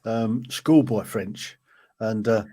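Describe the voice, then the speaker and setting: A man's voice: lowish voice, takes it slowly, warm tone, slightly rough. A man hosting a podcast interview, chatty and anecdotal, with hesitant fillers. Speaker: podcast host. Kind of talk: casual interview chat.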